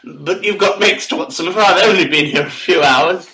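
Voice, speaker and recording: A man's voice: sits high, moderate pace, bright, forceful tone, low-quality recording. A man playing a wretched, muddled opium addict in an audiobook drama, stammering, whining and repeating himself. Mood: ashamed, pleading.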